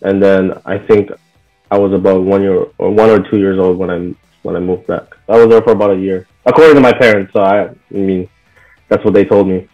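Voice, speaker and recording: A man's voice: sits low, a little fast, highs cut off, faint music behind. A young man from Bahrain being interviewed, talking casually with lots of "like" and "you know". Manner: relaxed, full of "like" and "you know" fillers. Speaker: interview guest.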